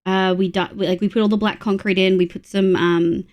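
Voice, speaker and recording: A woman streamer from Australia chatting casually during a game livestream, congested with a stuffy nose, excitable and full of fillers. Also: medium pitch, fairly quick. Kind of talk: casual livestream chat while playing.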